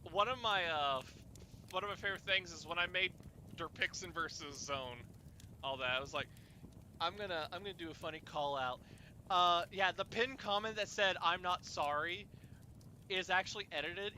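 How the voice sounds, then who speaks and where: A man hosting an informal chat, telling rambling stories in a halting, animated way and swearing at times. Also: high voice, a little hiss or hum. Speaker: host of an informal fireside chat. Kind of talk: casual chat, rambling storytelling.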